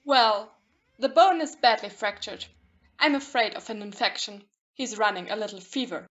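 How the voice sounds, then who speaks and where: A woman's voice: mid-range voice, normal speed, close to an everyday voice. A woman playing a matter-of-fact ship's doctor in an audio play, clipped and decisive, on a muffled recording.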